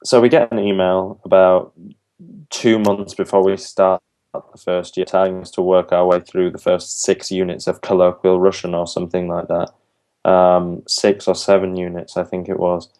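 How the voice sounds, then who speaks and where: Low, flat-voiced young man. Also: moderate pace. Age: young adult. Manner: thoughtful, earnest, with frequent ums and restarts. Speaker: interviewee, university language student. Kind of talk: casual interview answers.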